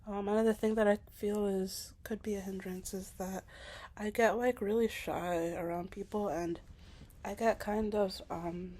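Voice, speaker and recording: A hesitant young woman speaks to camera in a casual, personal monologue. Her speech is self-conscious and full of filler words. She keeps her voice soft, sometimes sinking to a whisper. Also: mid-range voice, fairly even intonation, measured pace. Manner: soft-spoken, at times dropping to a whisper. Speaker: vlogger.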